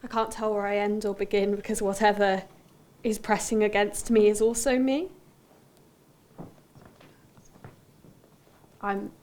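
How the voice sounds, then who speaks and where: A woman playing a haunted, introspective character who recounts a dream in deliberate, broken phrases, as a poetic dramatic monologue. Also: mid-range voice, little pitch movement, darkish tone, close to an everyday voice. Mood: dreamy, calm.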